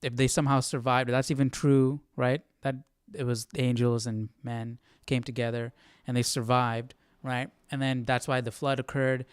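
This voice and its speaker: A man's voice: medium pitch, flat delivery, normal speed, few pauses, smooth, dry recording, clean studio sound. A man hosting a casual live Bible study, thinking aloud informally and often checking in with the listener. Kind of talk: casual live commentary on scripture.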